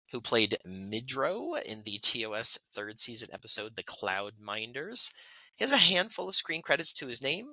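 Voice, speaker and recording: A man with a bright, cutting voice pitched a little low reads birthday notes on a podcast, with casual asides and plenty of fillers. The sound is dry and close, with no room around it. He keeps a friendly, chatty pace. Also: no room sound, poor audio. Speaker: podcast co-host. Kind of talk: casual birthday segment reading.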